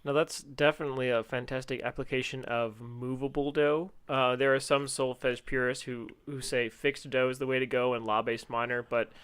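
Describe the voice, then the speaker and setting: A man's voice: mid-range voice, level tone, normal speed, few pauses, fairly bright tone, clear, resonant tone. A man co-hosting a podcast in casual chat about music teaching, playful and jokey. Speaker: podcast co-host.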